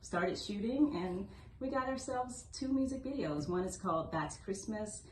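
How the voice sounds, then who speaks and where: A woman's voice: medium pitch, expressive, moderate pace, darkish tone. A woman chatting casually and enthusiastically as a podcast co-host. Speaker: interview co-host and music video director. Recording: roomy echo, faint background noise.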